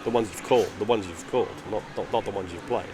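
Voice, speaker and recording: A man's voice: fairly low pitch, fast. A man giving casual live co-commentary on a pool match, chatting with his partner. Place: pool venue, close to the players. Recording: loud noise at live venue.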